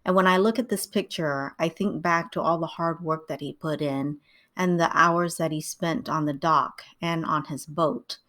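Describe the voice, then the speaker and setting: A middle-aged woman, born in Vietnam and raised in the United States, calmly narrating a scripted writing tutorial. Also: low-pitched, moderate pace.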